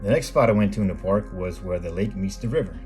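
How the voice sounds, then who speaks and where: A middle-aged American man reading a scripted voice-over in a measured, plain explanatory way, as the host of a photography video. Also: deep voice, a little mellow. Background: background music.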